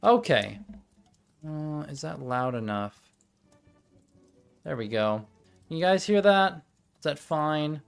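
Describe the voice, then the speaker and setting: A man's voice: a little high, big pitch swings, slow pace, gravelly, pauses often, smooth. A young man streaming live, chatting casually and muttering to himself while setting up, with music playing behind him. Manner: rambling, self-talking while fiddling with setup. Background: background music.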